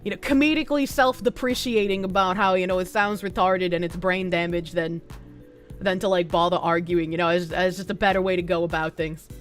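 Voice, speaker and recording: A woman with a mild Boston accent, talking loosely to her live chat. She rambles, swears freely and restarts her sentences, at an ordinary pitch for a woman. Soft music plays faintly behind her. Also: fairly even intonation, a little fast. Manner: rambling, frank, profane, with frequent restarts. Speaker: livestreamer. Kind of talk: casual live-stream chat reading donations.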